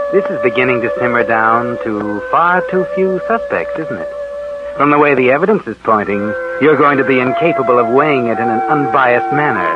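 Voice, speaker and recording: A man's voice: mid-range voice, lightly acted. An actor playing a sinister suspense narrator on 1940s radio, needling and insinuating like a man's guilty conscience. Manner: insinuating, taunting second-person address. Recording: dull 1940s radio, loud music behind.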